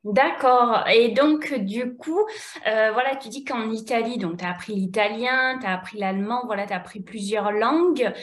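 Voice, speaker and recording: A woman from France hosting an interview, asking questions slowly with many fillers. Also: a little high. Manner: slow, halting, many fillers. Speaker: host, French tutor. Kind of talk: conversational interview.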